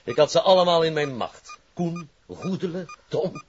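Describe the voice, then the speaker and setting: An actor with a Flemish accent playing a vengeful, power-hungry hostage-taker in a TV crime drama, menacing, taunting and commanding. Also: male voice, a little high, normal speed, lightly acted. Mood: boastful. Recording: muffled, noisy TV drama rip.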